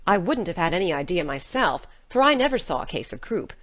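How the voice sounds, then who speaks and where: A woman performs a no-nonsense middle-aged country guardian in a dramatic reading. Her voice sits fairly low but moves in very animated swoops. She is dry, brusque and scolding, yet caring. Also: brisk. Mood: dry, matter-of-fact.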